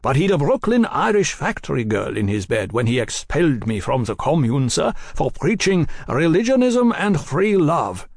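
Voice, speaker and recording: Guy putting on a resentful exile, rage held back, raspy low edge. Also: sing-song, normal speed, few pauses, a little mellow, dry recording, clean studio sound. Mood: bitter. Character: bitter exiled male radical, middle-aged, resentful.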